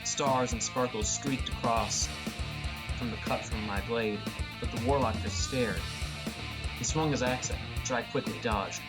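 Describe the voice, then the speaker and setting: A man's voice: medium pitch, fairly even intonation, unhurried, low-quality recording. A man reading first-person fantasy narration as an audiobook narrator. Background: music.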